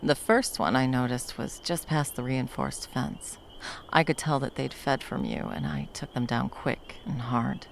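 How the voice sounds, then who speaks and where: A woman playing a protective, tender monster hunter in an intimate ASMR roleplay, speaking haltingly and breathily, often dropping toward a whisper. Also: rumbling low, expressive, gravelly, a little hiss or hum, full character voice. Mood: grimly matter-of-fact. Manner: halting, coaxing, speaking to a beloved. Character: wandering female monster hunter, fiercely protective, tender lover.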